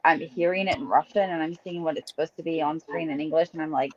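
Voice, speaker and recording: A woman co-hosting a casual podcast, chatty and quick to laugh. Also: deep voice, fairly even intonation. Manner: chatty, laughs easily, suggests ideas. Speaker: podcast co-host. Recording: dull lo-fi mic.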